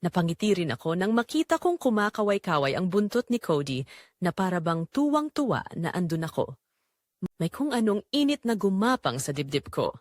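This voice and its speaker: A woman's voice: fairly low pitch, big pitch swings, normal speed, no room sound, studio quality. A woman with a Filipino accent narrating a scripted first-person romance as a tender young call center agent. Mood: heartfelt, wistful. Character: tender young female call center agent telling her love story. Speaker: story narrator.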